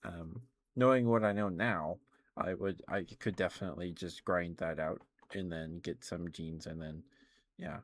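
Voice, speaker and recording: A man chatting casually in an ASMR style as a live streamer, in a halting, gentle, rambling way. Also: deep voice, steady tone.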